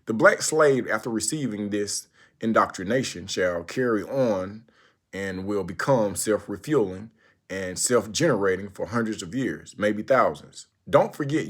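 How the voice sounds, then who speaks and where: A man with a fairly low voice reads a formal speech aloud. His delivery is deliberate and oratorical, stressing phrases and leaving pauses between them. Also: breathy edge. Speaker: narrator reading a historical speech aloud. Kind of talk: recited speech read aloud.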